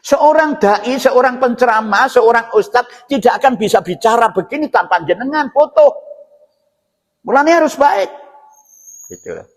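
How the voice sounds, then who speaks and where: Animated high-pitched Javanese preacher, man. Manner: didactic, rhetorical questions and check-in tags. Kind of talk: informal mosque sermon explaining scripture. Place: inside a mosque. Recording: reverberant hall sound.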